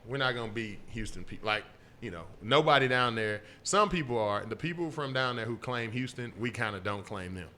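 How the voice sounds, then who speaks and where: A young man co-hosting a podcast, telling stories in a casual, animated way with many fillers. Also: mid-range voice, a little fast, hardly any pauses. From Texas City, Texas. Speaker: podcast co-host. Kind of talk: casual chat.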